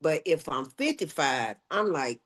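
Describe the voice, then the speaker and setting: A woman's voice: low voice, sing-song, unhurried. An older woman, a health educator, speaking emphatically as an interview guest. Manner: emphatic, acts out quoted voices. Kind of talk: conversational interview.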